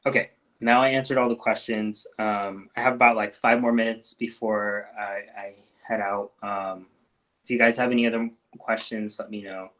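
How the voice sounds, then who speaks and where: A man with a somewhat low voice answers questions on a casual live stream. He talks in a relaxed, loose way, full of ums and restarts. His sentences often fade off softly at the end. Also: normal speed, poor audio. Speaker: doctor hosting a live Q&A.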